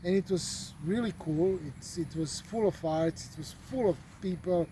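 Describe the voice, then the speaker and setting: A man talks casually outdoors, his voice high and mellow. He stumbles over fillers and repeats words as he hunts for them, with faint open-air sounds behind him. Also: normal speed, a little hiss or hum. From Croatia. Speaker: traveler. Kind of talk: casual travel talk. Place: outdoors at a golf resort.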